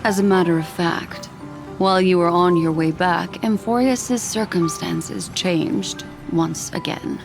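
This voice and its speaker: A woman playing a composed, enigmatic memory keeper in a video game, speaking formally and in a measured way. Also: fairly low pitch, fairly even intonation, creaky, over music. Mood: calm, grave. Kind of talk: video game character voice acting.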